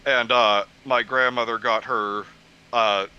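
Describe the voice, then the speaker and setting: A man's voice: medium pitch, steady tone, slow, sharp tone, smooth, lo-fi sound. A man in a casual group chat, co-commentating with snarky, self-deprecating jokes.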